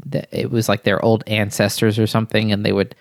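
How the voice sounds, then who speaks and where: Man, low voice, casual halting questioner with a joking edge, dry mic. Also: flat delivery, vocal fry, no room sound. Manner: halting, restarts, jokey questions. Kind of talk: casual chat.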